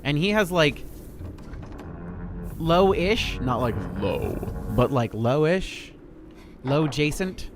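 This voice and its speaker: A man's voice: on the high side, very animated, slow pace, pauses often, over music. Chatty, profane man streaming a game. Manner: laid-back. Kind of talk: casual gameplay commentary.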